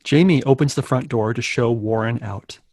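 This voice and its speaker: A man narrating stage directions and hosting a table read in a low-key, casual way. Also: moderate pace, darkish tone, dry recording.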